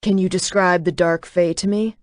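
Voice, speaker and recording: A woman's voice: lowish voice, steady tone, normal speed, no echo, studio quality, lightly acted. A woman voicing a commanding male dragon shifter who speaks in curt, clipped orders. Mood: urgent.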